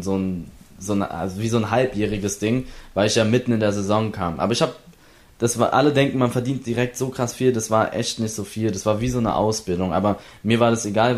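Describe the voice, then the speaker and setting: A young man from Berlin with a lowish voice tells how his esports career began. His talk rambles and restarts often, and he slips into quick impressions of the people he met. The feel is a casual, loose interview. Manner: rambling, many restarts, acts out others' lines. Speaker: esports player.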